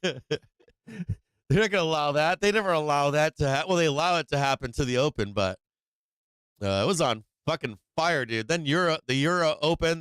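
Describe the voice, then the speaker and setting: A man's voice: mid-range voice, a bit bright, clear, resonant tone, no room sound. A man hosting a live stock market stream, casual and slangy, rambling with many fillers and restarts, saying he is losing his voice.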